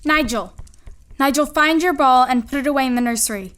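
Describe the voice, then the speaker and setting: A brisk, managing young lady of a radio melodrama, acted by a woman. Her voice is high, with bossy, anxious instructions. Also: a bit bright. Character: brisk, managing daughter of a genteel household. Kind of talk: period radio drama acting.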